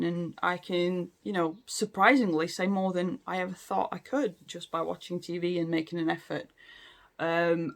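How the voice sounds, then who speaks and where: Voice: medium pitch, creaky, a bit bright. Vlogger, late 30s, lots of ums and restarts, chatty and unscripted. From Latvia. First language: Latvian. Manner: hesitant, many ums and restarts. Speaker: language learning vlogger. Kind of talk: casual talk to camera.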